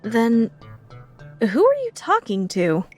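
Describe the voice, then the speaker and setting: A woman playing a brisk, impatient teenage genius girl in an anime fandub, with a no-nonsense manner. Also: a little high, wildly swooping pitch, darkish tone, faint music behind, exaggerated voice. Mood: puzzled, exasperated. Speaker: amateur voice actor.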